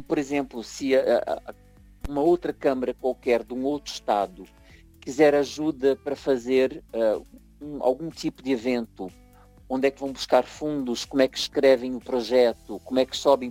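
A man's voice: medium pitch, dark tone. A Brazilian man with a slight Brazilian accent in his Portuguese, talking as a guest in an interview, hesitant with many fillers and restarts. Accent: Brazilian Portuguese, partly picked up in Portugal. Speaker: interview guest, association leader and fado singer. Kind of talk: broadcast interview conversation.

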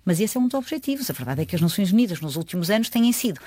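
A woman's voice: on the low side, big pitch swings, racing, warm tone, no echo. Earnest woman in her fifties, dry sound. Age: 50s. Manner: earnest, emphatic, frequent hesitations. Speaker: UN agency official, guest. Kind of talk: conversational interview answers.

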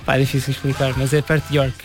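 A man's voice: medium pitch, monotone, racing, music in the background. A young man from Portugal's Algarve with an Algarve accent, giving casual, hesitant interview answers sprinkled with English words. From Portimão, Algarve, Portugal. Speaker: musician, interview guest.

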